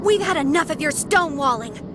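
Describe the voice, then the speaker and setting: A woman playing a fierce, indignant young rebel in a video game cutscene, declaiming forceful accusations. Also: high voice, expressive, a little fast, background noise. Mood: outraged.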